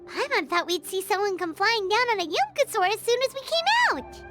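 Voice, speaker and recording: A woman voicing a tiny, excitable, childlike fairy companion in a video game. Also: very high voice, brisk. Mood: chirpy. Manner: speaks of self in third person, excitable. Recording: music behind the voice.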